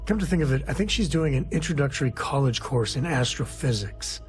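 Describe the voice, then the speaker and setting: A man's voice: mid-range voice, lively intonation, fairly quick, faint music behind, lightly acted. A man playing an easygoing, folksy space explorer and single father in a video game. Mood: easygoing, reflective. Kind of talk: video game character dialogue.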